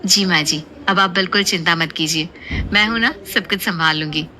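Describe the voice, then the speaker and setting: A woman's voice: lowish voice, moderate pace, pushed and bright, a little hiss or hum, rough recording. A woman voicing a meek young daughter-in-law in a cartoon story, earnest, deferential and anxious to reassure. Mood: eager reassurance. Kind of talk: Hindi cartoon story voice acting.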